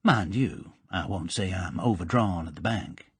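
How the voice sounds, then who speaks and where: A man's voice: deep voice, expressive, unhurried, breathy edge, dry recording, full character voice. A man voicing a blunt, indifferent female gang boss. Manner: indifferent, drawling.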